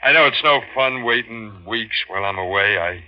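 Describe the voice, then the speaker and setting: An actor playing an older fisherman skipper, a pleading, guilt-haunted husband, in halting, dramatic 1940s radio style. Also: on the low side, natural voice. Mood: tender pleading. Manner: intense, halting, dramatic delivery. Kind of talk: 1940s radio acting, first-person narration and dialogue. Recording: dull vintage radio recording with music.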